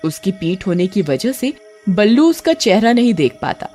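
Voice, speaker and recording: A woman's voice: medium pitch, big pitch swings, normal speed, mellow, soft background music. A woman reading a scripted horror story as its narrator.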